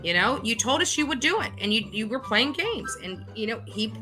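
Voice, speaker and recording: A woman's voice: fairly high pitch, very animated, moderate pace, bright, forceful tone, faint music behind. A woman speaking off the cuff in an indignant, emphatic, self-justifying way, like a vlog host. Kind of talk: unscripted monologue to camera.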